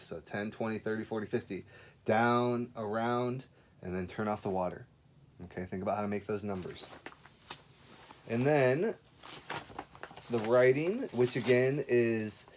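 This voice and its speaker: A man speaking as a kindergarten teacher in an informal remote lesson, giving encouraging step-by-step instructions with frequent check-ins. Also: mid-range voice.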